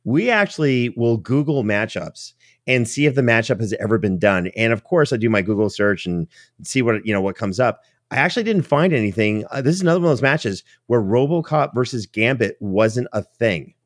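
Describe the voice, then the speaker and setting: A man's voice: mid-range voice, animated, a little fast, no echo, clean studio sound. A man hosting a show in a chatty, teasing, conversational way. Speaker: podcast host.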